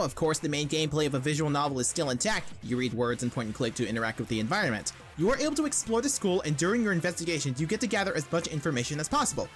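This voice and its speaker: A woman narrates a scripted gaming essay with a playful, informal delivery. She talks quickly, and music plays behind her voice. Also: mid-range voice. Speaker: video essay host. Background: background music.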